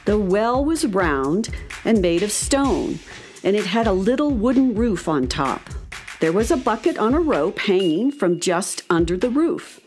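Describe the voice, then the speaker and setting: A woman's voice: medium pitch, a little mellow. A woman narrating a scripted story for children.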